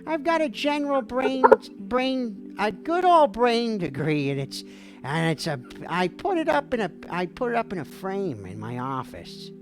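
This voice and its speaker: A woman hosting a livestream call-in show as a mock therapist, dreamy, theatrical and goofy, with a gravelly voice. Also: medium pitch, sing-song, a little slow. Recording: music playing behind the voice. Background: background music.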